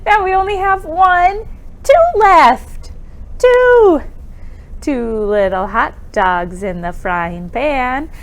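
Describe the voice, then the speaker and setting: A woman's voice: high voice, lively intonation, drawn-out words. A woman presenting an interactive storytime for young children, with counting songs and exaggerated exclamations and questions.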